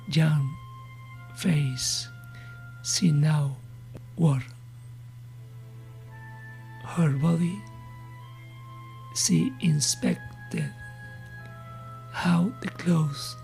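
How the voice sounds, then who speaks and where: A woman narrating a scripted horror story in a deliberate, ominous manner. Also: low-pitched, gravelly, darkish tone, rough recording.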